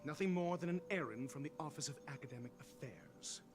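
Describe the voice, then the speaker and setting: Haughty scholar, highish lively voice. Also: male voice, fairly quick. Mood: condescending. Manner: pompous, condescending, formal. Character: haughty, intellectual male scholar who lectures everyone. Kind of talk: video game voice acting. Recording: music playing behind.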